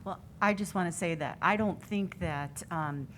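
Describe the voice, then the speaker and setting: A woman's voice: fairly low pitch, normal speed, a little hiss or hum. A woman board member speaking with sincere appreciation.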